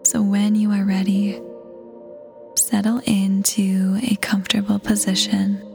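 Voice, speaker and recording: A woman narrating a scripted guided meditation in a soft, breathy near-whisper, soothing and gentle, pausing between phrases. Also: mid-range voice, completely flat delivery. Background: soft new-age music.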